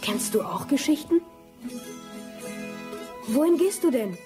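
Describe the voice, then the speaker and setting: High-voiced, dreamy child. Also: female voice, lively intonation, takes it slowly, over music. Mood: wondering. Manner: wondering, naive. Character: dreamy, curious small child longing to travel. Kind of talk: German radio play acting.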